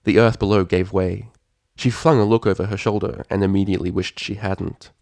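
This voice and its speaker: A man's voice: fairly low pitch, little pitch movement, a little fast, vocal fry, a little mellow, dry recording. A man reading fan fiction aloud as a scripted, dramatic story narrator.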